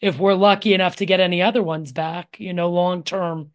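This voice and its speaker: Lower-voiced woman podcast host. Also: steady tone, fairly quick. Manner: reactive, exclaiming often. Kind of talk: conversational interview.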